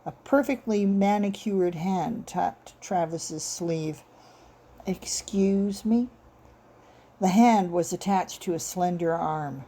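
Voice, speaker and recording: Mellow woman narrating a short story. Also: fairly low pitch, takes it slowly, few pauses, a little hiss or hum. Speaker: author reading own short story.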